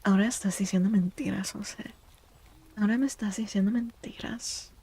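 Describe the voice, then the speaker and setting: A woman speaking softly and breathily in a gentle, unhurried near-whisper, chatting as an ASMR livestream host and often softly repeating phrases. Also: mid-range voice, steady tone. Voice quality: creaky. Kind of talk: soft-spoken ASMR livestream chat.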